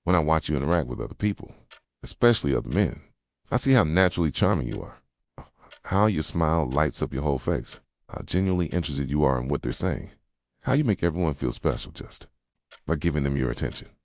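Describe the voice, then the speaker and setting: A very low, close and dry male voice of an actor as an obsessively devoted boyfriend. He talks softly in a brooding, confessional ASMR style, sometimes dropping to a whisper, with lively swings in pitch as tenderness mixes with possessiveness. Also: fast, gravelly, no echo, full character voice. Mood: pleading, insecure. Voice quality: soft, low, slipping into whispers. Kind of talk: intimate boyfriend roleplay ASMR.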